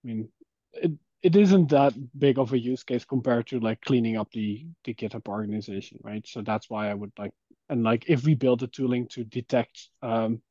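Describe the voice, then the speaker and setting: Mellow-voiced man thinking aloud, dull audio. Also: mid-range voice, normal speed, no echo. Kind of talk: casual work call discussion. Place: online call. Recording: dry, dull call audio.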